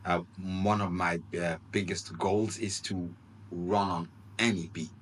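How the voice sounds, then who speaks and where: A man's voice: low-pitched, steady tone, unhurried, fairly bright tone, slight background noise. A man from Congo and Norway chatting casually as a rapper on a podcast, slangy and emphatic. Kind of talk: casual chat.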